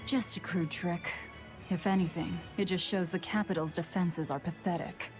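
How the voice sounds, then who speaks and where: A woman's voice: on the low side, moderate pace, lightly acted. A woman voicing a sullen, cynical young woman in a video game, guarded, curt and bitter. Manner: curt, flat-spoken, bitter. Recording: music behind the voice.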